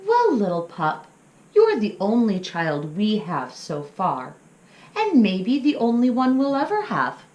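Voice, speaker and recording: A woman voicing a gentle, patient mother wolf in a storybook, explaining things reassuringly. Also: medium pitch, huge pitch swings, takes it slowly, highs cut off, pitched above the performer's own voice. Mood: tender. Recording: roomy, echoing sound.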